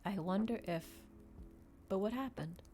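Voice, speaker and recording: A woman voicing a timid, awed young male interviewer, soft and hesitant, in a storybook reading. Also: mid-range voice, measured pace, soft background music, natural voice. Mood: awed, flustered.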